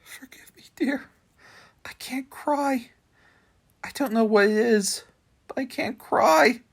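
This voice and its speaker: A man's voice: very high voice, slow, creaky, voice pushed up, heavily acted. A man voicing a grieving middle-aged widow who speaks in broken, sobbing phrases. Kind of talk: reading a play aloud.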